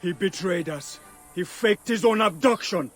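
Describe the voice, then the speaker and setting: An actor playing a battered, blunt gladiator in a video game, speaking in a strained, emphatic way. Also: male voice, high-pitched, a little slow, faint music behind. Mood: angry. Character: battered, angry male gladiator, loyal and blunt. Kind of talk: dramatic game acting.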